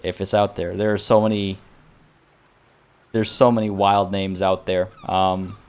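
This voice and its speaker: A man's voice: low voice, little pitch movement, unhurried, darkish tone, clean tone. A man live-streaming a game, chatting to his viewers in a rambling way full of restarts, and saying he is losing his voice. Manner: rambling and tangential, with many restarts. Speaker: streamer playing a video game.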